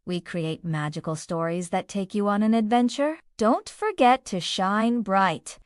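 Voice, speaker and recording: A woman narrates a scripted bedtime story for children. Her voice sits a little high. The sound is very dry, with no room around it. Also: smooth, no echo. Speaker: children's storyteller and channel host.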